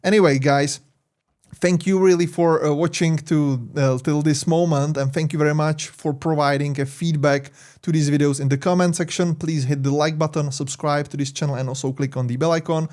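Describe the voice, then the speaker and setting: A man's voice: on the high side, fairly even intonation, normal speed, a little mellow. A man with a Czech accent, a tech YouTuber talking casually over his screen, rambling with many fillers and self-corrections. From Czech Republic.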